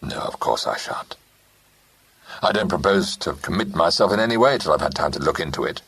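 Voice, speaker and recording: Male narrator's cool young gentleman, low, husky, unhurried. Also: animated, full character voice. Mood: calm. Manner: measured, dry. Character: calm, teasing young Englishman, fiancé.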